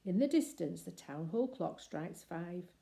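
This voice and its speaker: A woman reading a children's story aloud expressively, with deliberate phrasing and emphatic pauses. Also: lowish voice, animated, creaky, warm tone.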